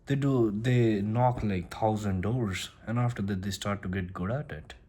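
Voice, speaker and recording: A man's voice: lowish voice, moderate pace, mellow, slightly rough. A man who speaks English as a second language, talking through a casual motivational monologue and often repeating and restarting his phrases. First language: not English. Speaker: self-improvement vlogger.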